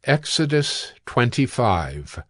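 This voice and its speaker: Slow, solemn male scripture narrator. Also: mid-range voice, sing-song, a bit bright, no room sound, studio quality. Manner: solemn, measured.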